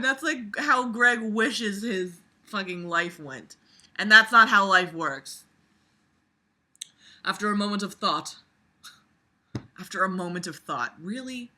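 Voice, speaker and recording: A person reading a book aloud and breaking off into sarcastic, profane, animated commentary in an exasperated, casual way. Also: medium pitch. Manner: animated, breaks into mocking imitations.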